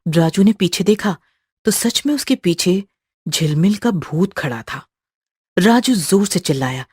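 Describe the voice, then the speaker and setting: Female narrator, scripted horror story, raspy tone, lowish pitch, suspenseful, dramatic, dry studio-like sound. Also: animated, measured pace, no echo.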